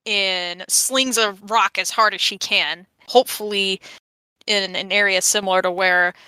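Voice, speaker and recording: A woman speaks as a player in a tabletop game, casually describing her character's moves. Her voice is bright and mid-pitched, recorded very dry. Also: fairly even intonation, measured pace, clean tone, no room sound, rough recording.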